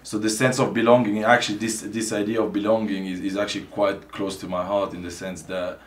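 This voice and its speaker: Lowish-voiced man, wry manner. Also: flat delivery, a little fast. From Italy. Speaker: filmmaker guest.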